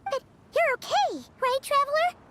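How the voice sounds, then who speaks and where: A woman playing a tiny, childlike, excitable fairy companion in a game, chirpy and blunt. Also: very high-pitched, moderate pace, echoey room, a little hiss or hum, exaggerated voice. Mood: concerned. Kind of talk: scripted game voice acting.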